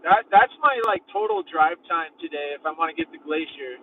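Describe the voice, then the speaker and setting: Rambling high-voiced man vlogging from his van. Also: lively intonation, brisk. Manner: rambling, heavy use of "like". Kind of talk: casual road-trip vlogging. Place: inside a van while driving. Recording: thin phone-like sound from a distant mic in a car. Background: faint road and engine noise.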